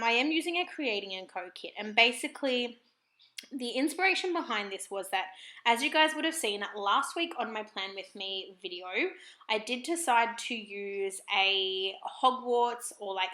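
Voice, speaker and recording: An Australian woman with a croaky, husky throat, chatting casually and apologetically in a voiceover for her planner vlog at home. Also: on the high side, pushed and bright, echoey room. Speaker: planner vlogger.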